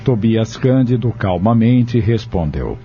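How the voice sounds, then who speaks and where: A man narrates an audio drama from a script in a mid-range voice. Music plays clearly behind him. The recording is slightly dull and lo-fi. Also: lowish voice, big pitch swings, takes it slowly.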